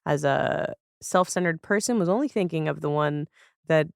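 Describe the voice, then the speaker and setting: A woman's voice: low-pitched, normal speed, gravelly, dry recording. Conversational woman hosting a podcast, close dry sound. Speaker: podcast host. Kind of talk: casual conversational podcast talk.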